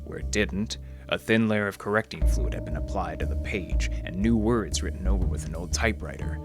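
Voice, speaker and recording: A man acting as a low-voiced private eye straight out of old radio drama. He is wry and deadpan, delivering hard-boiled lines over music. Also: fairly quick, lightly acted. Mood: world-weary, wry. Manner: deadpan noir wisecracking. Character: wry, hard-boiled male library detective. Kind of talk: 1940s radio detective acting, narration and dialogue.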